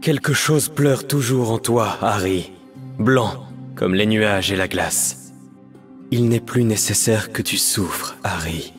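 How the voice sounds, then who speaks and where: An actor playing a melancholic painter mage torn between light and darkness, speaking solemn, measured, poetic lines for a video game. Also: male voice, on the low side. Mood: grave, wistful. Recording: music behind the voice.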